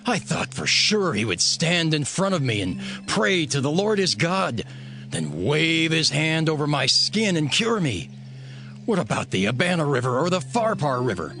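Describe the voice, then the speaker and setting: Proud military commander, fairly high and hugely animated, grumbling and affronted, scripture audio drama. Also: male voice, normal speed, soft background music, exaggerated voice. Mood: offended, grumbling. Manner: grumbling, emphatic. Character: proud, indignant Syrian army commander, easily offended. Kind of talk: dramatized audio Bible acting.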